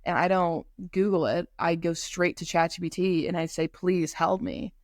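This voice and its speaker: Young woman, podcast co-host, casual chat, halting with fillers, lowish voice, dry sound. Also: little pitch movement, darkish tone, dry recording. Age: young adult. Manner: halting, many fillers and restarts. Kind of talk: casual conversational discussion.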